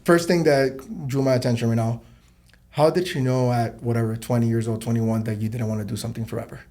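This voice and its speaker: A man in his thirties hosts a relaxed podcast chat. He talks quickly in a dark, mellow voice. He is chatty and informal and swears freely. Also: medium pitch. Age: 30s. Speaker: podcast host. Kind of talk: casual interview chat.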